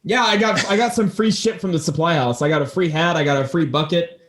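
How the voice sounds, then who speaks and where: A man's voice: on the high side, fast talker, a bit bright, a little hiss or hum. A young man hosting a podcast with casual, profane banter and rambling anecdotes, in a very echoey room. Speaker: podcast host.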